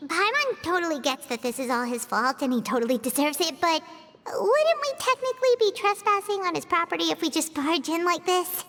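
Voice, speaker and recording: A woman voicing a small, excitable, childlike companion in a video game, with a squeaky voice and a habit of exclaiming and speaking in the third person. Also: fairly quick, full character voice. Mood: doubtful. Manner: exclaiming, plain-spoken, refers to self by name.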